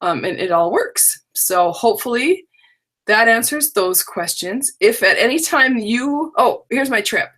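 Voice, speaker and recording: A woman's voice: fairly high pitch, animated, measured pace, a bit bright. A middle-aged woman with a scratchy, drowsy voice, casually chatting as she hosts a live online crafting workshop from her home stamp room. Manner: chatty, rambling, talks to unseen viewers. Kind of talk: casual live-stream chat.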